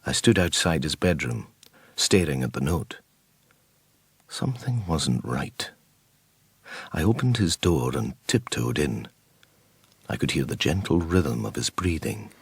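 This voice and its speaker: An actor playing a troubled psychic detective who narrates his own story in a radio drama, slow and brooding. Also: very deep voice, very animated, slightly rough, no room sound, full character voice. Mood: uneasy. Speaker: narrator and lead character.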